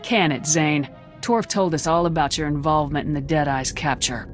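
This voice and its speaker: A woman's voice: sits low, moderate pace, soft background music, lightly acted. An actress playing a confident female military commander in a video game, direct and assured. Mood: stern.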